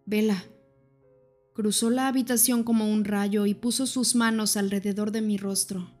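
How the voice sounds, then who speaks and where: A woman reading a first-person story as an audiobook narrator, in an even, measured way. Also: medium pitch, faint music behind.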